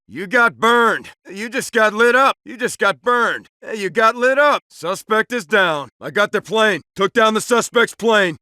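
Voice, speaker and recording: A man's voice: very high-pitched, pushed and bright, no room sound, studio quality, full character voice. A man playing a tough, urgent police SWAT officer in a shooter game, speaking in short, clipped tactical lines. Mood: confident reporting. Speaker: game voice actor playing a player character.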